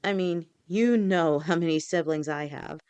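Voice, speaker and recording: Woman playing a gentle snake-woman girlfriend. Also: on the low side, unhurried, smooth, natural voice. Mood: reassuring. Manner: soft, reassuring, speaks directly to a partner. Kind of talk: audio roleplay.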